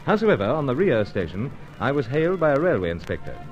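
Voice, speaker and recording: An actor playing a dashing, unflappable secret agent in a radio serial, clipped, jaunty and reassuring. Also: male voice, medium pitch, very animated, fast, dull audio, soft background music, close to an everyday voice. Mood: brisk narrating. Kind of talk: old-time radio serial acting and narration.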